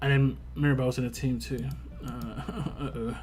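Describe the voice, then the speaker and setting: A man's voice: medium pitch, flat delivery, a little mellow, a little hiss or hum. A young man with a West London accent, chatting casually and rambling with restarts while streaming a game. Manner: rambling, talks fast with frequent restarts and fillers. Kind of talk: casual live-stream chat while gaming.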